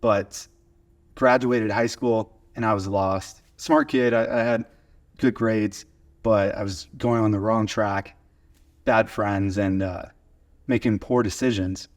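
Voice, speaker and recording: A man's voice: fairly low pitch, steady tone, takes it slowly. A man in his twenties from Oklahoma with an American accent, talking casually as an interview guest and telling stories. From small town in Oklahoma, USA. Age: 20s. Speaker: podcast guest, video studio owner. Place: converted garage video studio.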